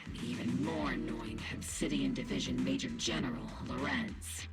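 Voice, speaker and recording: An actress playing a cool, commanding female military officer in an anime game, dry and measured, over loud music. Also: extremely low pitch, steady tone, creaky. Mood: dry, sardonic.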